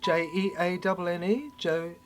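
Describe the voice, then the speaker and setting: A performer delivering a theatrical spoken-word monologue in fragmented, halting phrases with dramatic swings. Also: mid-range voice, slight background noise.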